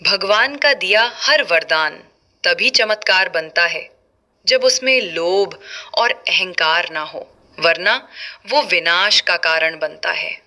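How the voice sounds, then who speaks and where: A woman's voice: on the low side, lively intonation, slow pace, pushed and bright, exaggerated voice. A woman voicing a poor, devout and kind Brahmin man in a storybook tale, earnest and reverent. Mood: solemn.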